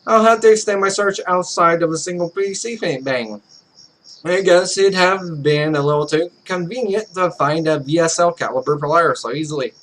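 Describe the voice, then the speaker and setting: A man's voice: sits high, fairly bright tone, poor audio. A man hosting a let's play, casually reading visual novel text aloud and commenting, often stumbling over the words.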